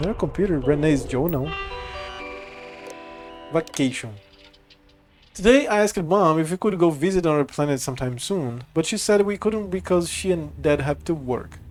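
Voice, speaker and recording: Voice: medium pitch, animated, normal speed, pauses often, darkish tone, faint music behind. A game streamer giving casual playthrough commentary and reading in-game text aloud.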